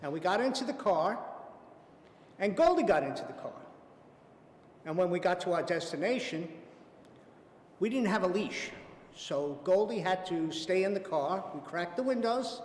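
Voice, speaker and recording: A man telling a personal anecdote at a theater event, warmly amused and lingering on the punchlines. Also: high-pitched, lively intonation, normal speed, long pauses, slight background noise. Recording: echoey hall, faint ambience.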